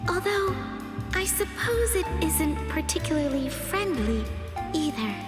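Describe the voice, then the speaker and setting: A gentle anime teenage girl. She speaks slowly in a high, swooping voice, earnest and a little hesitant, under loud music. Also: big pitch swings. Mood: wistful, resolved. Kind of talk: anime game dub acting.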